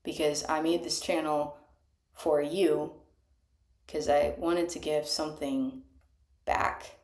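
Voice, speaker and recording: A young woman speaking softly and earnestly, halting often, with sighs and small laughs, recorded on a cheap microphone. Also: flat delivery. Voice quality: soft higher voice. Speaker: small channel singer. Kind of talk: casual confessional vlog. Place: bathroom. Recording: echoey room, cheap mic.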